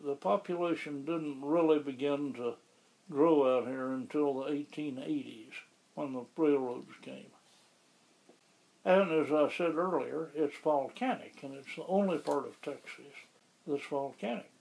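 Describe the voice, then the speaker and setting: A man being interviewed about the town where he lives, explaining things in a measured, conversational way. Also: on the high side, vocal fry, darkish tone, poor audio. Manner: measured, explanatory, with occasional restarts. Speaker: interviewee, local resident.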